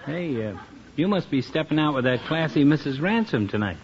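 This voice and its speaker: An actor playing a slow, wisecracking small-town barber with a drawling, jokey manner, on a dull 1950s radio recording. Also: male voice, fairly high pitch, lively intonation, slight background noise. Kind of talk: 1950s radio sitcom acting.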